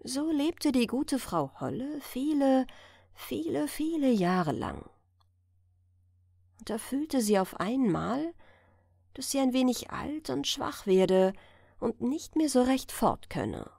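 Female audiobook storyteller, fairly high voice, expressive bedtime fairy-tale read, unhurried. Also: gravelly, no echo, studio quality. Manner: expressive, carefully phrased storytelling. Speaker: audiobook narrator.

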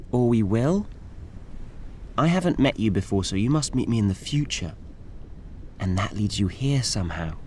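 A man's voice: on the low side, a little hiss or hum. A man narrating a scripted story dramatically and acting out the dialogue with expression. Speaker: audiobook narrator.

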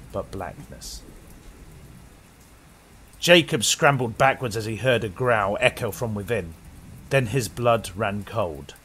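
British male horror host, theatrical and suspenseful, pitch swinging widely, faint rain-like ambience behind. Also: fairly bright tone, slight background noise. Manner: dramatic, suspenseful delivery. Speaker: horror story host and narrator. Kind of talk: theatrical scripted horror narration inspired by old radio.

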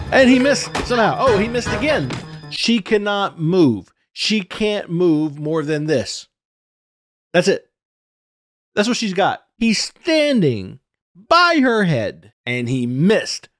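A man's voice: high-pitched, dry recording. Man, forties, commentary host. Conversational and critical, emphatic delivery, dry close-miked home studio sound. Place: small indoor home studio. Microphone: close microphone on a stand, headset worn.

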